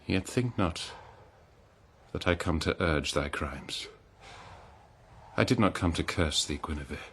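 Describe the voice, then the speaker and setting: Slow, deep, anguished king. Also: a bit bright, slight background noise. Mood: grave, sorrowful. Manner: solemn, declamatory, archaic diction with long pauses. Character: betrayed noble old-world king, anguished, forgiving husband. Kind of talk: dramatic verse monologue, classical radio-drama acting.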